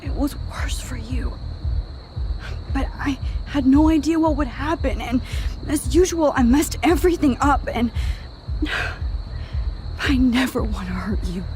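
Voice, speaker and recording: A woman's voice: sits high, expressive, background noise. A young American woman playing an anxious, earnest teenage girl in a dramatic video game, speaking in a hesitant, pleading way. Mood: remorseful, pleading. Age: young adult. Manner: hesitant, halting, emotionally pleading.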